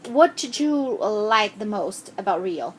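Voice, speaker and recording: A woman interviewing a child, asking gentle, patient questions with frequent hesitations. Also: mid-range voice, lively intonation, slow, a little hiss or hum.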